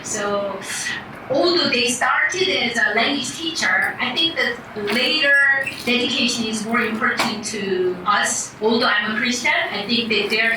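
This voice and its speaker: Korean woman, conference presenter, halting delivery, slightly high voice, distant mic in a very echoey hall. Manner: halting, many fillers and restarts. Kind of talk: academic talk in English. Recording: echoey room, distant mic, background noise.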